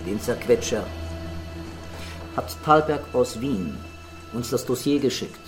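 Radio crime play: a quietly commanding detective chief, medium pitch, curt and doubting, faint music behind. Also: male voice, animated, slow. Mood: sceptical. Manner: terse, probing. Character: brooding, sceptical male police chief, quietly commanding. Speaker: radio drama actor. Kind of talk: German crime radio drama dialogue.

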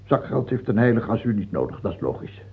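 A fearful, doubt-ridden king of France near death in a radio play. His male voice is badly husky and swings widely in pitch as he halts, stammers and commands. The recording is tinny, like an old broadcast through a narrow band. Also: big pitch swings, heavily acted. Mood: imperious. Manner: halting and stammering, turning imperious. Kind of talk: radio drama acting.

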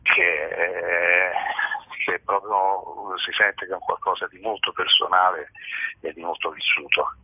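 A man speaks in a low, raspy voice over a thin, roomy phone connection. He answers as an interviewed actor, thoughtfully and haltingly, often searching for his words. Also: little pitch movement. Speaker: stage actor, interview guest. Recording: muffled roomy telephone line.

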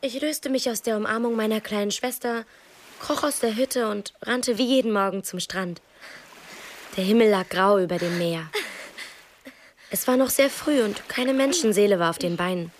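An actress playing a poor, unsentimental beach child telling a story plainly in a radio play. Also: female voice, medium pitch, moderate pace, slight background noise, close to an everyday voice. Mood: matter-of-fact. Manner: matter-of-fact storytelling. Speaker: actor. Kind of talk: first-person radio play narration.

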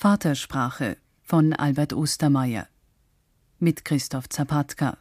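A woman's voice: low voice, slow pace, darkish tone, dry recording, studio quality. A woman announcer reading the closing credits of a radio play.

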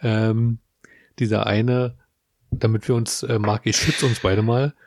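A man's voice: fairly low pitch, little pitch movement, slow pace, a little mellow, no echo, studio quality. A man from Berlin co-hosting a casual podcast, chatty and dotted with fillers. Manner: chatty, many "äh" and "ähm" fillers, laughs often. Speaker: podcast co-host. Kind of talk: casual chat.

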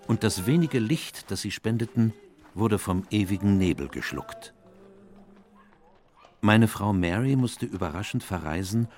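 An actor playing a reflective, loyal elderly doctor narrating his memoirs in a measured, literary way in a radio play. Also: on the low side, darkish tone. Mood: calm storytelling. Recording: music under the voice.

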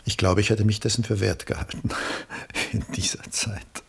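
An actor playing an ageing, reflective former lover in a radio drama, measured and confessional in his phrasing. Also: on the low side, level tone, exaggerated voice. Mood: resigned, frank. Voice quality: husky, breathy, gravelly. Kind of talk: 1960s German radio play acting.

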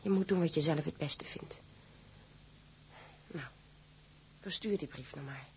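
An actress playing a sailor's wounded wife in a radio drama, pleading and searching, with a husky voice. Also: female voice, low voice, unhurried, noisy background. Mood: hurt, resigned. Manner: emotional, insistent, searching.

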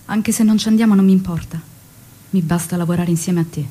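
Devoted, longing wife, slightly husky. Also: female voice, on the low side, steady tone, fast, dark tone. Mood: earnest. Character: Aleida, devoted, longing young wife of a revolutionary. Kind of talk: theatrical radio drama monologue and dialogue.

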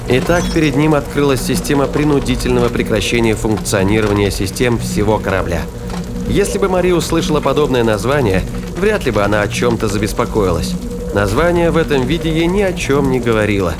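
A man narrating a scripted audio drama in a measured storytelling manner. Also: mid-range voice, lively intonation. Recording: narration over loud music and effects.

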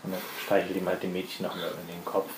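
A man improvising narration as a tabletop game master, with a halting, hesitant delivery. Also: low-pitched, monotone. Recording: echoey room with clear background noise.

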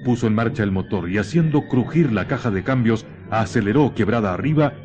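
A man narrating a scripted radio horror drama in a grave, dramatic manner with deliberate pauses. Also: lowish voice, expressive, music in the background.

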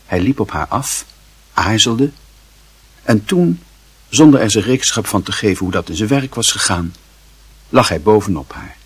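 A man with a low, husky voice tells a literary story for a radio play. He is measured and formal with a wry edge, recorded close in a dry studio. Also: expressive, dry recording. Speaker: narrator.

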